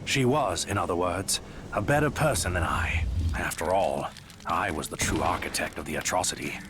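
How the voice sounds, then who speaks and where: A man's voice: low-pitched, moderate pace, noisy background, lightly acted. An actor playing a sombre, guilt-ridden father narrating a fantasy game tale in a grave, regretful manner. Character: sombre, guilt-ridden father recounting his dark bargain.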